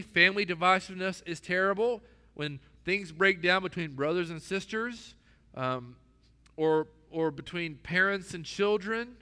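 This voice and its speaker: A man's voice: fairly high pitch, sharp tone. A male pastor preaching a sermon to his church, earnest, acting out the voices he quotes. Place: church hall. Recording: long echo of a large hall.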